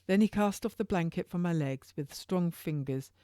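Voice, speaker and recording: A woman's voice: on the low side, moderate pace, dark tone, clean tone, no room sound, clean studio sound. A woman author reading her own historical novel as first-person narration, in an informal read-aloud way with occasional stumbles.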